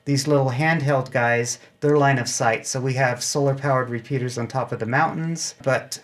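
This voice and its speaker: A man's voice: medium pitch, steady tone, normal speed. An American man talking as a club guest in an interview, casually explaining things in a plain-spoken, anecdotal way. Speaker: amateur radio club member, interview guest.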